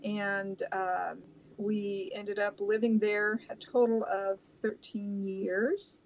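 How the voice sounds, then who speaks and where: Older woman raised in brazil, soft, pausing a lot, um and uh, muffled call audio, middle pitch. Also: level tone, a little hiss or hum. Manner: soft-spoken, halting with many pauses and fillers. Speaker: guest, host's mother. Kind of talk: casual remote interview.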